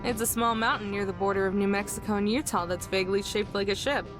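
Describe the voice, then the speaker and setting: A woman voicing a guarded young woman in a visual novel, dry, low-key and offhand. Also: fairly even intonation, fast, over music, lightly acted. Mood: matter-of-fact.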